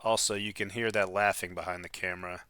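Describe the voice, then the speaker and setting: A man's voice: low voice, fairly even intonation, quick pace, sharp tone, smooth. A man in his fifties hosting a casual DIY vlog, talking informally and thinking aloud as he works. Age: 50s. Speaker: DIY host.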